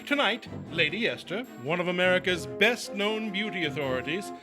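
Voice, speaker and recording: A man's voice: high-pitched, expressive, moderate pace. A man playing a grand, showy 1940s radio show announcer introducing a drama. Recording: music playing behind. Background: prominent music.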